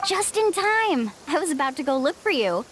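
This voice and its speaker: A woman's voice: high-pitched, very animated, normal speed, very noisy. An actress playing a confident, upbeat young woman guide in a video game, leading and reassuring her companions, with game music underneath. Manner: bright, reassuring, leads others on.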